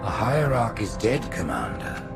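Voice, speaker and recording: A man's voice: fairly low pitch, wildly swooping pitch, a little slow, vocal fry, exaggerated voice. A man voicing a haughty, scheming old alien hierarch in a video game, speaking with pompous theatrical oratory. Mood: indignant. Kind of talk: cinematic video game acting. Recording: loud music behind the voice.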